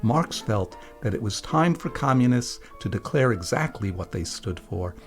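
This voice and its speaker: A man's voice: mid-range voice, animated, moderate pace. Man narrating a script over music. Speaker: radio presenter and reader. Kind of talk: scripted narration introducing a dramatic reading.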